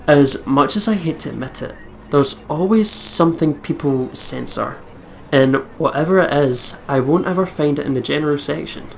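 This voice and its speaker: A man's voice: on the high side, lively intonation, moderate pace, gravelly, a little hiss or hum. A man hosting a let's play, reading visual novel narration aloud expressively with casual commentary.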